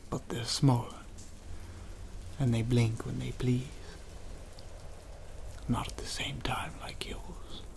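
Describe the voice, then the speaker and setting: An actor playing a sinister monster in an audio play, taunting with mock politeness in a creaky, breathy whisper. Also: medium pitch. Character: sinister many-eyed monster lurking in a child's closet. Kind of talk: audio play horror acting.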